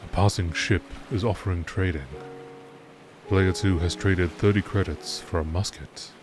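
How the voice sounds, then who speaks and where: A man reading game lore as scripted nature-documentary narration, in a measured, deliberate and formal manner. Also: deep voice, fairly even intonation, over music.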